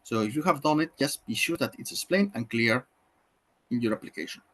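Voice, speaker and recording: Brief: Spanish-accented man, webinar trainer, mid-pitched, conversational, lots of fillers and restarts, video-call sound. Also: animated, a little fast, fairly bright tone. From Spain. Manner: conversational, many fillers and self-corrections. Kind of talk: explanatory webinar talk.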